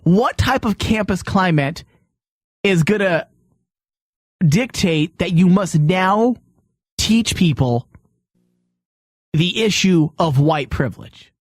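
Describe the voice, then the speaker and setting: High-pitched, animated man hosting. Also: takes it slowly, long pauses, no room sound, studio quality. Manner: bossy, interrupting. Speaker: talk show host. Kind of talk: bantering talk show chat.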